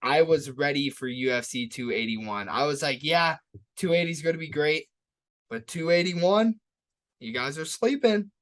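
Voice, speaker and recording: A man with a somewhat high voice co-hosts a casual sports podcast. He talks like a hyped fan, hammering key words by repeating them as he previews and breaks down fights. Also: lively intonation, normal speed, a bit bright. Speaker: podcast co-host.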